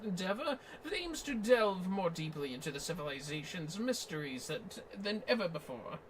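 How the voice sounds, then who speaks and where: A man voicing a learned, formal expedition leader from a fantasy game, with pompous, deliberate, ornate delivery. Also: high voice, big pitch swings, slight background noise, rough recording. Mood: solemn, expository. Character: learned, formal archaeologist leading an expedition, grandly wordy. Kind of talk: dramatic reading of fantasy game dialogue.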